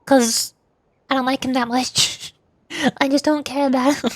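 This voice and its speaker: Female game streamer, high-pitched, playful and rambling, casual livestream chat, very dry sound. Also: fairly even intonation, unhurried, no echo. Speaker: streamer, VTuber. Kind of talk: casual gaming livestream chat with voiced dialogue.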